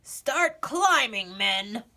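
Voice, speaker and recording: A woman's voice: on the high side, expressive, very slow, sharp tone, heavily acted. A woman voicing a bold, suspicious male gingerbread pirate captain in a storybook, commanding and wary.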